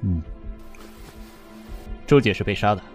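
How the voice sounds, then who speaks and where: An actor playing a calm, guarded young fortune teller in a Chinese suspense audio drama, speaking in a measured, cryptic way. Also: male voice, on the low side, soft background music. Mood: cool, analytical.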